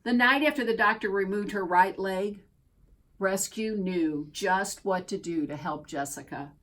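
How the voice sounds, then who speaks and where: A woman reading a children's picture book aloud as a storyteller, expressively and deliberately, drawing out key words. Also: mid-range voice, fairly bright tone. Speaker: storybook reader.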